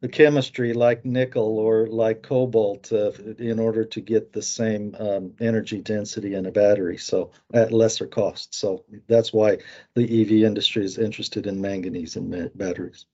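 A man with an American accent giving market commentary, halting often with fillers. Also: on the low side, flat delivery, darkish tone, lo-fi sound.

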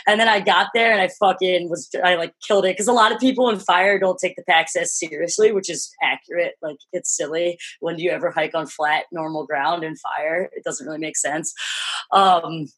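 Guest, woman, Philly-Jersey accent; low, husky voice; loose, swearing chat. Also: fairly quick. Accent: Philadelphia-New Jersey. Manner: low-voiced, animated, sprinkles "like" and swears freely. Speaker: podcast guest. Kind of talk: casual interview chat.

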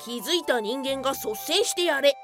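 A critical, nagging dog companion, acted by a woman in a radio comedy. The voice is high with animated intonation, over faint music. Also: moderate pace. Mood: snide. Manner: snide, critical. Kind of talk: radio comedy drama acting.

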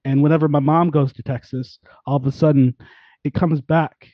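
A man's voice: fairly high pitch, steady tone, normal speed, no echo, highs cut off. A man hosting a casual interview, rambling and interrupting himself as he chats. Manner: chatty, rambling, self-interrupting.

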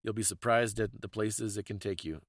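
A man's voice: on the low side, fairly even intonation, normal speed, dry recording. Male podcast co-host, reflective and conversational explainer, very dry recording.

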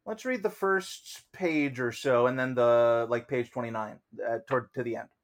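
A man's voice: medium pitch, moderate pace. A man co-hosting a casual discussion, loose and joking, who swears offhandedly. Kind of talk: casual discussion of history and a play.

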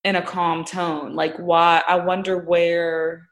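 Woman, casual chat, slightly low voice, hesitant, thinking aloud. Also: little pitch movement, slow. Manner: thoughtful, hesitant, many fillers. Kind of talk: casual conversation.

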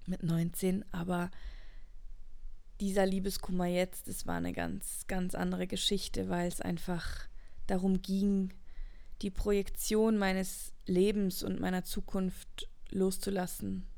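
Woman late 20s doing a personal podcast monologue, slow and thoughtful, medium pitch, earnest. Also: vocal fry, mellow. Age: late 20s, turning 30. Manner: earnest, introspective, emphatic repetition of words. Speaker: podcast host. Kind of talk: reflective personal monologue, unscripted.

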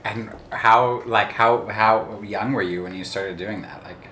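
A male interviewer leads an oral history interview with soft-spoken, casual questions. His voice is fairly low yet bright and forceful in tone, and it rings with roomy echo. Faint background noise sits under it. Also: steady tone, measured pace. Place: office indoors.

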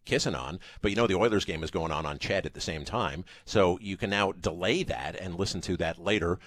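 A middle-aged man with a Canadian accent, speaking as an executive guest in a radio interview, giving a polished, articulate promotional pitch. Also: brisk, fairly bright tone, dry recording.

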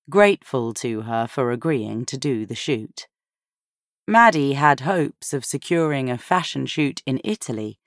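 A woman narrating a novel in a measured, expressive storytelling manner. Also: extremely low pitch, dry recording, clean studio sound. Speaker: audiobook narrator.

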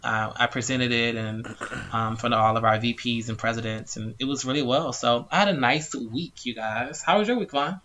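A man's voice: mid-range voice, normal speed, sharp tone. A man co-hosting a casual podcast, upbeat and chatty, talking about his week. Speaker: podcast co-host, yoga teacher.

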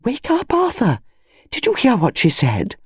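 Woman playing an insistent, high-voiced English wife. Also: huge pitch swings, a little slow, a little mellow, breathy edge, no echo, clean studio sound, full character voice. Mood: urgent insistence. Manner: urgent, insistent, emphatic. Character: insistent upper-class English country wife, excitable.

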